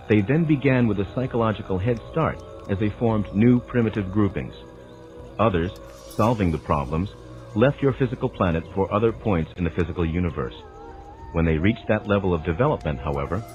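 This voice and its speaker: A man delivering a dramatized scripted narration of a spiritual text in a measured, formal, lecturing manner. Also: sits low, darkish tone. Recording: dull lo-fi sound with music underneath. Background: background music.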